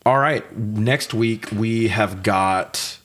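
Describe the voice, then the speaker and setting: A man's voice: on the low side, drawn-out words, a bit bright. A man co-hosting a podcast, chatting casually about movies in a blunt, sweary way. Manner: profane, blunt. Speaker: podcast co-host. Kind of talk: casual movie review chat.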